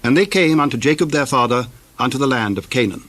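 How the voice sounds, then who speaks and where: Male narrator, medium-pitched, solemn, measured, scripted archaic scripture reading. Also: expressive, a bit bright.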